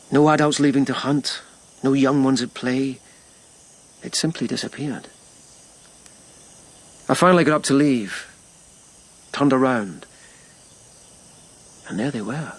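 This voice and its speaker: Thoughtful, husky-voiced police lieutenant. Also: male voice, medium pitch. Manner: reflective, halting storytelling in short phrases. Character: thoughtful, reserved male police lieutenant in ancient Japan. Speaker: radio drama actor. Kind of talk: naturalistic radio drama acting.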